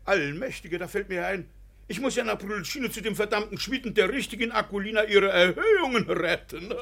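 An effusive, jovial landowner father from a comic radio play. The voice is high, husky and gravelly, with a theatrical delivery full of excitement. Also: a little fast, heavily acted. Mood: delighted. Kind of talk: comedy radio play acting.

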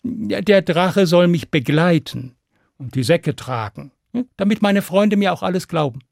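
A man's voice: sits high, expressive, a little slow, vocal fry, darkish tone, dry recording, studio quality, voice pushed up, full character voice. A man voicing a crafty, bluffing peasant hero in a fairy tale, breezy, mock-modest and teasing. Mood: mock reluctant.

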